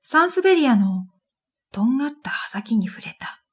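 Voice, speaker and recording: A woman playing a pensive dream reader, narrating her own story in a quiet, measured radio drama style. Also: sits high, big pitch swings, heavily acted. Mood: pensive, calm. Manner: quiet, measured, pensive, trailing into breathy phrase ends. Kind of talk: scripted first-person radio drama narration.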